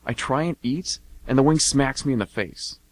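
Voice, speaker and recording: Man playing a shy, flustered, animated guy. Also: medium pitch, normal speed, natural voice. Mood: awkward, pleased. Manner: awkward, stammering, eager to please. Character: shy, flustered young man in bird costume selling candy. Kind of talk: amateur radio comedy acting.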